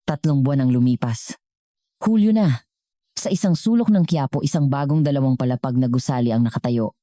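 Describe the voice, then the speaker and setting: A Filipino woman narrates a scripted dramatic story in a strikingly low voice. Her tone is on the mellow side, and the recording is dry with little room sound. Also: a little fast, no echo.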